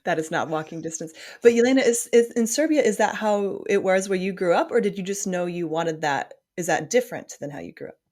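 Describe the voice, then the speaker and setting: A woman's voice: fairly low pitch, fairly quick, hardly any pauses, darkish tone. A woman hosting a podcast interview, asking questions in an informal, inquisitive, conversational way.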